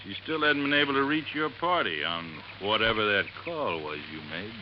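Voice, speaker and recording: An actor playing a plain-spoken, loyal boat-landing helper in a 1950s radio drama, with folksy phrasing. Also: male voice, lowish voice, expressive, normal speed, lightly acted. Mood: folksy, low-key. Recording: muffled, noisy old radio recording.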